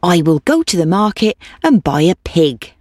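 Woman playing an animated, insistent old villager woman. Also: fairly low pitch, normal speed, dry recording, studio quality. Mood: pondering. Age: older. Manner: repetitive, imploring chant. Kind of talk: storybook character voice.

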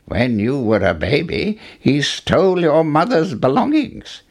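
A man's voice: a little high, wildly swooping pitch, measured pace, pitched above the performer's own voice, exaggerated voice. A man voicing a solemn, mysterious old man who gives earnest, emphatic advice in a storybook. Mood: urgent, solemn.

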